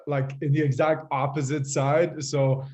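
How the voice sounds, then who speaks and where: A man from Iran who moved away as a child, telling his personal story casually as a podcast guest, in a halting, reflective way. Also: a little high, little pitch movement, a little mellow. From Iran, moved to Canada at eight. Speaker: podcast guest, fitness group leader.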